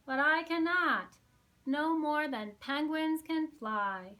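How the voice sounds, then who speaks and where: A woman's voice: high-pitched, slow, bright, forceful tone, pitched above the performer's own voice, heavily acted. A woman voicing a majestic, kindly male giant albatross in a storybook. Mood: amused, regretful.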